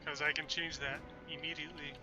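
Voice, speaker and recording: A man's voice: a little high, flat delivery, a little fast, music in the background. A young man streaming a game at home, chatting casually with viewers as he plays and rambling about what he is doing. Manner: chatty, rambling, talks to chat while playing. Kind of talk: casual gameplay livestream chat. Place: home room. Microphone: desk microphone.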